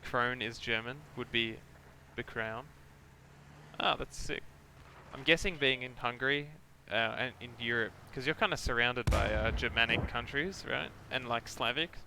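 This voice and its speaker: A man's voice: medium pitch, normal speed, pushed and bright. A man streaming a game live, chatting casually in a rambling, hesitant way as he thinks aloud. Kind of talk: casual chat while gaming, reading out chat. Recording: game battle noise behind voice. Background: game artillery fire and vehicle engine sounds.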